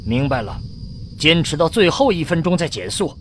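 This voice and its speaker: Male actor as commanding middle-aged captain, fairly high voice, determined, stubborn, radio drama, faint noise. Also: big pitch swings, normal speed. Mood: resolute.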